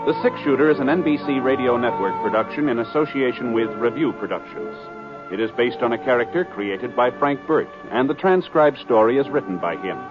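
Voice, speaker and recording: Formal old-time radio announcer. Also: male voice, medium pitch, expressive. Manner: measured, formal and polished. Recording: dull old radio transcription with theme music.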